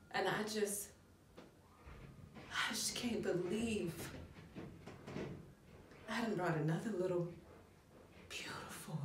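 A woman's voice: mid-range voice, lightly acted. A woman acting a dramatic stage monologue as an embittered, wounded young mother, raw and emotional, dropping into near-whispers. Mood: weary, anguished. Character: embittered, wounded young mother confronting her child's absent father. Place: echoey room. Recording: echoey room, distant mic, faint noise.

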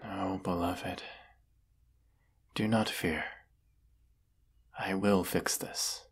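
A man playing a brooding villainous wizard in a fantasy audio roleplay, stern and formal in his deliberate delivery. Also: low-pitched, little pitch movement, slightly rough, full character voice. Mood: wistful, restrained. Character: brooding villainous wizard of the woods, secretly lovelorn.

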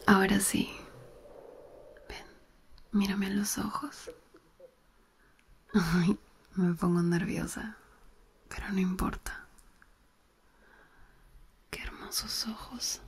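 A woman's voice: mid-range voice, steady tone. A woman whispering as a flirty cousin. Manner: whispering close and slowly. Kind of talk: ASMR whispered roleplay. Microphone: close binaural mic.

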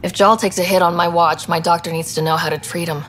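A woman acts a young female sci-fi game hero, earnest and direct. The voice sits slightly low and sounds casual and natural, over a faint rumble. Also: fairly even intonation, quick pace, fairly bright tone, lightly acted. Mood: casual, curious. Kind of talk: video game dialogue.

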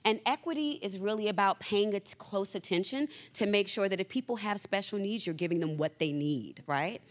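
A woman in her forties moderating a panel on a theater stage, speaking into a handheld mic with a loud, conversational voice and frequent fillers. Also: medium pitch, normal speed.

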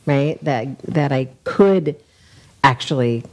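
A woman in her fifties hosting a casual chat on a studio set, animated, laughing often and acting out quotes. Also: a bass voice, slow. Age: 50s. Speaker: talk show host. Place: indoor TV studio set, seated at a café table.

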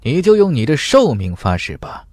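Man voicing a domineering old cultivator, animated. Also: mid-range voice, normal speed, no echo, studio quality, faint music behind, pitched above the performer's own voice. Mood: suspicious sneering. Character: menacing, suspicious, greedy old male cultivator.

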